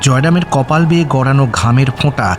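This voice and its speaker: A man's voice: medium pitch, quick pace. A man narrating an audio story in a dramatic, scripted style. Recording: music bed under narration.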